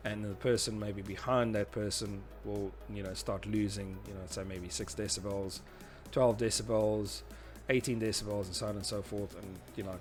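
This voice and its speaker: A man with a fairly deep voice talks through an instructional presentation. He explains in an informal, slightly halting lecture style. Faint music sits behind his voice. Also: fairly even intonation. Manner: hesitant, explanatory, often saying "you know". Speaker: instructor presenting a tutorial series.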